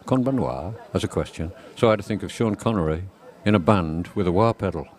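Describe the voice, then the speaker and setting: A man's voice: deep voice, a little fast, a little mellow. A man chatting on a street, joking and telling punning tricks for remembering words. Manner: jokey, tells punning memory tricks. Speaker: guest. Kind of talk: casual chat. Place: outdoors on a high street.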